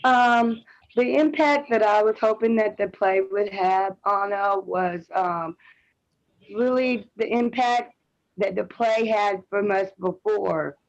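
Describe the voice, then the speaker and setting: A woman's voice: medium pitch, slow. A woman panelist speaking off the cuff and plainly, reflecting personally over a video call. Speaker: panelist, community artist. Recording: muffled video-call audio.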